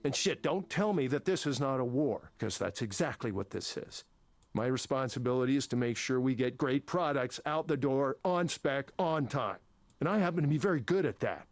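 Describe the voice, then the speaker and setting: An actor playing an angry, embittered older tech manager, combative, sarcastic and sweary, in a visual novel game. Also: male voice, medium pitch, animated, moderate pace, dry recording, natural voice. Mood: defensive, combative.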